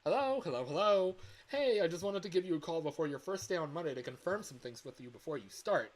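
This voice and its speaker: A man performs an upbeat corporate caller briefing a new hire in a video game phone message. The delivery is official and presentational. The pitch is lifted well above ordinary male chat, keeping the briefing cheerful. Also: lively intonation, fast. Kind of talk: improvised game phone-call voice line.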